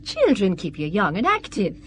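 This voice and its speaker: Chatty middle-aged Irish mother, lively intonation. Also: medium pitch, normal speed, slight background noise. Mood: chatty, friendly. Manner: chatty, friendly. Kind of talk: audio drama dialogue.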